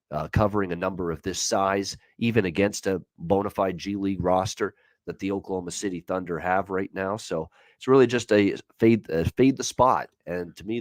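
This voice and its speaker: A man's voice: low voice, dry recording. A man hosting a sports betting show, giving his opinions in casual, conversational commentary.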